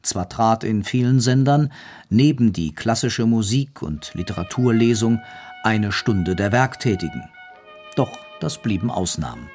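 Guy narrating a radio history doc, lowish voice, scripted. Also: lively intonation, measured pace, a little mellow, faint music behind. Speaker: documentary narrator.

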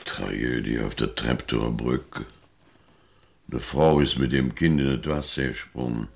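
A man playing a gruff father in a German radio play, with a gravelly voice. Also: very deep voice, steady tone, measured pace, a little hiss or hum, heavily acted. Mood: dry, matter-of-fact. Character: gruff father reading the news aloud at breakfast.

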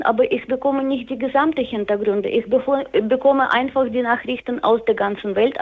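Woman caller, high voice, tinny phone line, guarded replies. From Soviet Union. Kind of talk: phone-in call. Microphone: telephone.

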